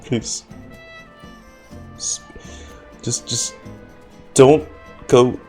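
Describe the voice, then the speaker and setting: A man's voice: mid-range voice, steady tone, drawn-out words, darkish tone, faint music behind. A man giving casual livestream reactions to a game, groaning and exasperated. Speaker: game streamer reacting to a story quest.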